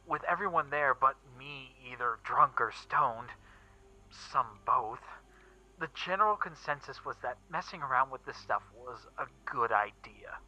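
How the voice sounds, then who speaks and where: A man playing a shaken young witness recounting an occult horror in a first-person story reading, halting and emphatic, with stammers and repeats. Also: medium pitch, bright, forceful tone. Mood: hushed, dread. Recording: muffled recording with background music. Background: eerie background music.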